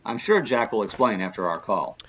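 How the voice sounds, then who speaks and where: Genial male professor-adventurer acted by a man, children's radio drama; expressive, explanatory delivery over faint noise. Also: lowish voice, a little fast. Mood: friendly explaining. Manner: good-natured, storytelling, explanatory. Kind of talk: kids' radio drama acting.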